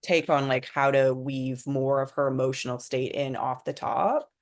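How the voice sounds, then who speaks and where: Very low-voiced woman, casual and loose. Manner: informal, loose, halting phrasing. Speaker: screenwriter and director. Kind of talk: casual unscripted talk about own script.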